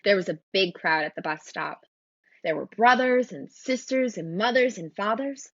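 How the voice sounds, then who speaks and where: A woman reading a children's storybook aloud in an expressive narrating style. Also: medium pitch, moderate pace, dry recording, muffled recording. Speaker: storybook reader.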